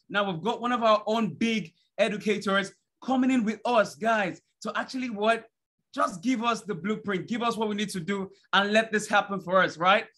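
A young man hosting an online event, an energetic hype man who keeps asking "right?" and sometimes shouts, on a video call from his bedroom. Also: high-pitched. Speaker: host. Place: bedroom at home.

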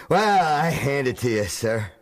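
An actor playing a middle-aged American gangster in a radio drama, sardonic and menacing. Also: male voice, mid-range voice, sing-song, takes it slowly, noisy background. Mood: wry, cornered.

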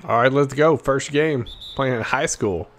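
A man's voice: medium pitch, a little slow, background noise. A man giving casual, slangy gameplay commentary, cocky and playful with plenty of trash talk. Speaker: gaming video host.

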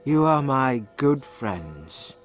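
A man voicing a lonely, polite gentleman who speaks earnestly to his friends, in an audiobook. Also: mid-range voice, very animated, drawn-out words, soft background music. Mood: wistful.

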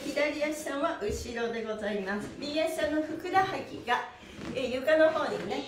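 High-pitched cheery woman leading stretches. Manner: cheery, encouraging, sing-song. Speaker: stretching instructor. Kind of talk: online exercise lesson, counting along with chanted phrases. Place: small indoor room with bare wall. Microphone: camera mic from a few meters. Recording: roomy echo, distant microphone, music behind.

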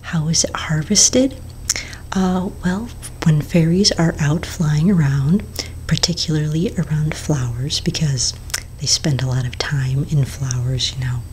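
A woman whispers close to the mic in a low, hushed voice, unhurried and soft. Faint hiss sits under her words in a small indoor room. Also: low-quality recording. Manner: whispering, slow and gentle, with fillers. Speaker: ASMR roleplay potion shopkeeper. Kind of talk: ASMR whispered roleplay. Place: small indoor room before shelves of bottles.

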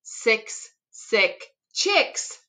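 A middle-aged woman reading a children's picture book aloud expressively, articulating tongue twisters with deliberate care. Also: pushed and bright. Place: living room at home.

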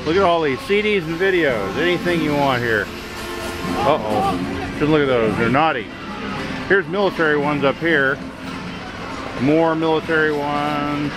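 Man hosting a camcorder flea-market walk, dry offhand asides, marching music heard clearly underneath. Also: on the high side, measured pace, long pauses, rough recording. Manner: wry, offhand, thinking aloud. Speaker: video host walking a flea market. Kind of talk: casual handheld tour commentary. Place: outdoor flea market, parking lot. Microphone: handheld camera mic. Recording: music playing behind. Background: band music playing.

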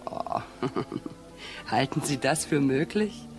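A self-possessed, provocative widow in a noir radio play. Her delivery is mocking and lively, swinging in pitch, over clearly audible music. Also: drawn-out words, heavily acted. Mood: sarcastic. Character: cool, scornful, provocative widow of a murdered man. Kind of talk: radio crime drama acting.

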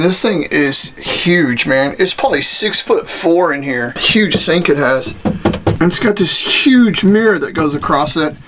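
Higher-voiced, enthusiastic man. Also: noisy background. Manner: enthusiastic, folksy, slangy exclamations. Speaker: vlogger giving a vehicle walkthrough. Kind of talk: casual, off-the-cuff tour commentary. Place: mostly inside a small cluttered motorhome.

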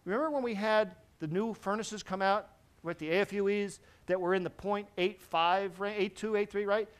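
A middle-aged man giving a technical lecture to a seated audience in a large conference hall, lively and constantly checking in with the listeners. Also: high voice, moderate pace, smooth. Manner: lively, quizzes the audience with frequent "right?". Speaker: presenter at a technical symposium.

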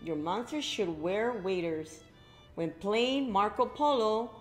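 A woman's voice: medium pitch, lively intonation, slow, soft background music. A middle-aged woman reading a story aloud to young children, expressively, with exclaimed asides to her listeners. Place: indoor library room with bookshelves.

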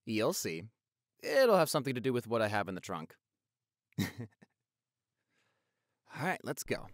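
Man playing an animated, affectionate young boyfriend. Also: mid-range voice, unhurried, no room sound. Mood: chatty, amazed. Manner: gushing, chatty, with repeated words and exclamations. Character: affectionate, playful young doctor boyfriend on anniversary date. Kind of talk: intimate boyfriend audio roleplay, addressing the listener.

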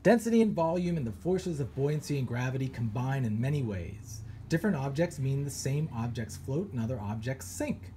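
Animated man reading a picture book aloud. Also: medium pitch, moderate pace, hardly any pauses, slight background noise. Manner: animated storytelling with pirate flair. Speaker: children's author reading aloud. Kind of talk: expressive read-aloud.

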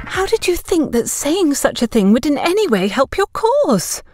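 A woman's voice: high-pitched, very animated, normal speed, a little mellow, dry recording, clean studio sound, faint music behind, voice pushed up. A woman voicing a disbelieving, scolding young sister in an audio story. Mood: incredulous. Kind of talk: audio story character dialogue.

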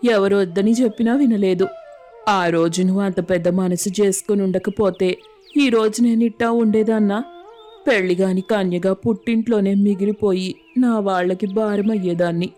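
A woman voicing a devoted, pious village wife in an animated moral story, speaking in an earnest, rustic, colloquial way. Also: medium pitch, moderate pace, natural voice. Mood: affectionate, grateful. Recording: music under the voice.

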